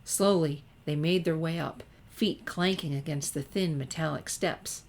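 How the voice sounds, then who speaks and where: A woman narrator with a low-pitched voice reads fiction aloud. Her delivery is scripted story narration, told in the manner of an audiobook. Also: measured pace, darkish tone. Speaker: narrator, fan fiction reader.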